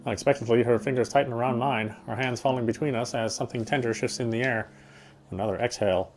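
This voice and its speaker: A man's voice: medium pitch, fairly even intonation, fast talker, darkish tone, close to an everyday voice. An actor playing a tender, self-deprecating young man in a visual novel, introspective and earnest, with guitar music behind him. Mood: hesitant, resigned. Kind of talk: first-person visual novel narration and dialogue.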